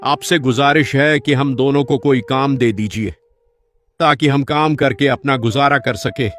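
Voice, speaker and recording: A man playing a humble, patient old village uncle in an animated moral story, speaking earnestly and reassuringly. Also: mid-range voice, unhurried, natural voice. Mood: humble, pleading. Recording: music behind the voice.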